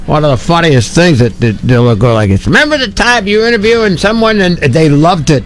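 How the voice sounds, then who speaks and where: A man's voice: on the high side, sing-song, fairly quick. A man hosting a radio show in casual, joking, irreverent banter. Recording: radio aircheck with music bed.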